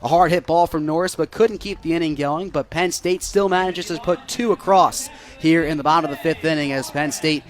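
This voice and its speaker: A man with an American accent and a slightly high voice calls baseball live for radio. He keeps a measured delivery between pitches and pushes harder with excitement when the ball is in play. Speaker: play-by-play broadcaster.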